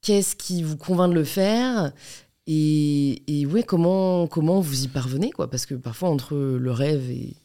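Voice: medium pitch, a little slow, mellow. A podcast host asking casual, curious questions with many fillers. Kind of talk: conversational interview.

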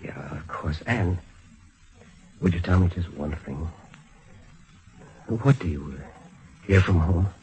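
An actor playing a lonely, remorseful exiled naval officer, earnest and halting, in an old-time radio drama. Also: male voice, deep voice, expressive, a little mellow, rough recording. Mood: wistful, heartbroken.

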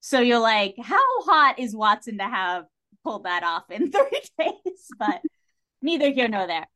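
A woman's voice: a little high, sing-song, measured pace, sharp tone. Woman chatting casually with emphatic opinions. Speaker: podcast guest discussing a TV series. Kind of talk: casual animated chat.

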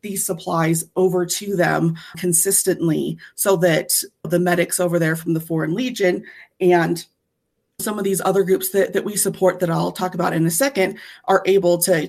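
A woman's voice: on the low side, creaky, a little mellow. A woman speaking as an interview guest, explaining volunteer work in an earnest, conversational way.

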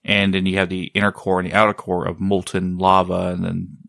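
A man talks in a low voice, loose and meandering. He often stalls to search for the right word. The sound is dry, with no room echo. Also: monotone, a little fast, gravelly, fairly bright tone. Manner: rambling, often searching for words. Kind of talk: casual chat.

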